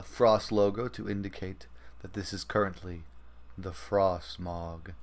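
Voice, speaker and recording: A man hosting a gaming podcast with casual, conversational talk. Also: lowish voice. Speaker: podcast host.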